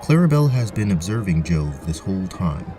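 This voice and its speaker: A man's voice: sits low, sing-song, brisk, over music. A man narrating a scripted tabletop fantasy story as its storyteller. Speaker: narrator, game master.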